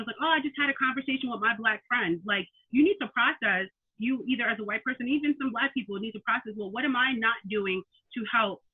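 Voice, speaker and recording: An athletic trainer joining a town hall discussion, eager and conversational. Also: medium pitch. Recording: tinny phone line, husky.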